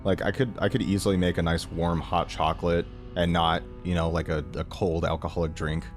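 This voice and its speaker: A man's voice: low-pitched, level tone, brisk, faint music behind. A young man giving a casual, rambling review to camera in an informal, enthusiastic, self-deprecating way. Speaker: reaction video creator.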